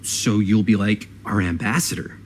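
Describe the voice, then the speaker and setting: A man's voice: fairly low pitch, fairly even intonation, normal speed, natural voice. An actor playing an easygoing but cautious young American man in a video game, speaking in a casual, slightly hesitant and wry way. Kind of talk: naturalistic video game acting.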